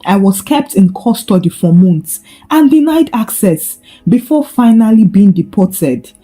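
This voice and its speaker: A woman's voice: mid-range voice, animated, measured pace, warm tone. A woman storyteller voicing an embittered husband who feels betrayed, in a dramatised folktale. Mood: confused, bitter.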